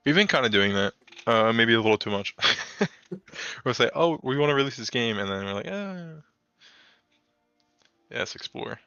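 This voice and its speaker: A man's voice: medium pitch, animated, moderate pace, vocal fry, pauses often, bright, forceful tone, smooth, no echo, soft background music. A young American man chatting casually on a game coding stream, rambling and laughing as he answers chat, through a dull lo-fi mic.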